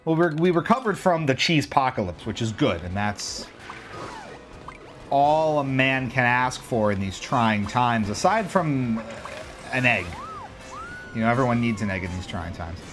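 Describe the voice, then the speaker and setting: A man chats and jokes his way through a kart race on a livestream, his pitch bouncing all over as he reacts. He talks at an even middle pitch, with faint game music playing under his voice. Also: unhurried. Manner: joking, chatty, reacts out loud to the race. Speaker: livestream gamer. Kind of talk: casual gameplay commentary.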